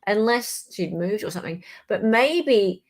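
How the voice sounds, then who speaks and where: A woman hosts a casual livestream about legal matters. She speaks informally and chattily, addressing viewers directly, with a mid-range pitch. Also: expressive, a little slow. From Australia. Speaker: livestream host. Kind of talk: casual live legal commentary chat.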